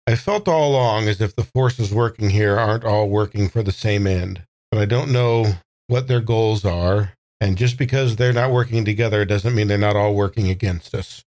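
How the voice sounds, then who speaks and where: A man playing an uncertain old man reborn in a young body, speaking haltingly with repeated words in an improvised audio drama. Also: lowish voice, darkish tone, lightly acted, low-quality recording. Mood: hesitant, troubled.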